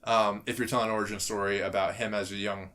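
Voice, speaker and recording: A man's voice: lowish voice, flat delivery, fast, fairly bright tone. A man hosting a casual podcast chat, steering the discussion and trading teasing banter. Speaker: podcast host.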